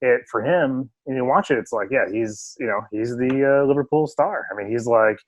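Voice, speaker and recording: A man's voice: mid-range voice, moderate pace, poor audio. A man hosting a podcast remotely, chatting and joking with lots of fillers. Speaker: podcast host. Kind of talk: casual chat. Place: remote home setup.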